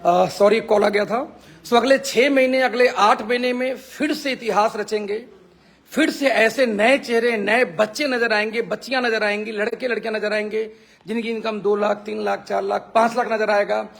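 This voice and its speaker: A man with a hoarse, gravelly voice that sits high for a man. He leads a sales team and gives an emphatic, pep-talk style live stream, hammering points home by repeating them. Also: steady tone, moderate pace, a bit bright. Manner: emphatic, repeats phrases for effect. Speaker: network marketing team leader. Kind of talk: motivational Facebook live talk.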